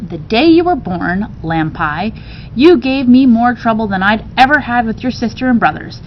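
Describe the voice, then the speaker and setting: Woman as animated country mama, slightly muffled recording. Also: moderate pace, lightly acted. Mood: teasing, animated. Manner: folksy, animated storytelling. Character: giggly country mother fondly retelling a birth tale. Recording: dull, noisy recording with rumble.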